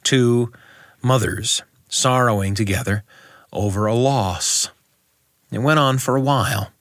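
A man in middle age narrates a personal tale in a reflective, deliberate way. His voice sits at an ordinary male pitch, and he breaks sentences into short phrases with pauses. The recording is dry and free of room sound. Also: a bit bright, dry recording. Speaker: storyteller. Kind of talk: personal storytelling monologue, scripted narration.

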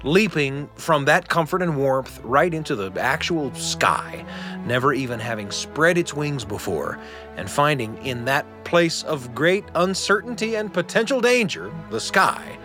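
A middle-aged man hosting a radio storytelling show, reading a script in an expressive, conversational way. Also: on the high side, measured pace, soft background music. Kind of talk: scripted narration. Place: dry studio.

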